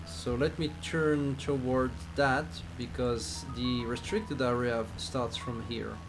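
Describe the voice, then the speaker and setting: Slow-talking man with a Swiss accent. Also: mid-range voice, fairly even intonation, music in the background, rough recording. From Switzerland. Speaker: flight simulator streamer. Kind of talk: casual live stream chat while playing.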